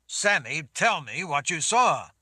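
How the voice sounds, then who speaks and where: A man's voice: a little high, sing-song, slow pace, sharp tone, no room sound, clean studio sound, voice pushed up, full character voice. A man playing a firm, commanding lord.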